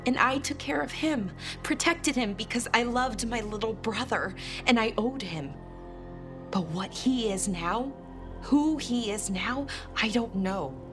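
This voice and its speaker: An actress playing a tender, anxious elven woman in a game cutscene, earnest and halting as she speaks about a brother. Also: a little high, expressive. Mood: wistful, troubled. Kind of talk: dramatic video game cutscene acting. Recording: loud background music.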